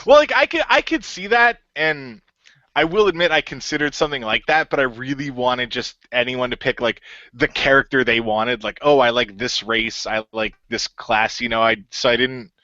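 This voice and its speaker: A man, creator of a radio play, chatting animatedly with his cast and laughing loudly, with many fillers, over muffled audio. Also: medium pitch, normal speed, dry recording. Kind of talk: casual online group chat.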